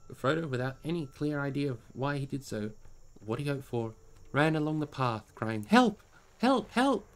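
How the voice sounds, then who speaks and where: A man narrating a fantasy story in an expressive audiobook style. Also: mid-range voice. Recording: music behind the voice.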